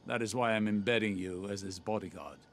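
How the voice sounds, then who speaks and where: A man's voice: on the low side, fairly even intonation, fairly quick, slight background noise, lightly acted. Man playing a stern, clipped spymaster mentor. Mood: stern, reproving. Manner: clipped, commanding, scolding. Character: stern, shrewd older mentor, controlling spymaster. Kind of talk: dramatic video game dialogue. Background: music.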